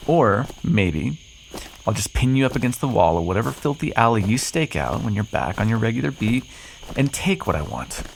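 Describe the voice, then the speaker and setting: A man's voice: fairly low pitch, big pitch swings, normal speed, vocal fry, a little hiss or hum, low-quality recording. A man playing a cocky, flirtatious, sardonic vampire in an ASMR roleplay, teasing the listener with pet names, sarcasm and swearing. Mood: smug, teasing.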